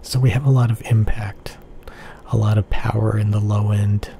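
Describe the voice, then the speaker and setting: A man explaining headphone measurements slowly and softly, repeating his phrases and often dropping into an unvoiced whisper. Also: fairly low pitch, flat delivery, vocal fry, slight background noise. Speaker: headphone reviewer. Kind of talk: slow whispery ASMR-style tech explanation.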